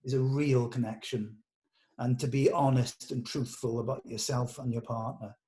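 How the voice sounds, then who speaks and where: A man's voice: medium pitch, steady tone, normal speed, dark tone. A British man speaking informally and earnestly, like a director encouraging actors at a table read, with blunt colloquial turns. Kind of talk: informal character briefing to actors.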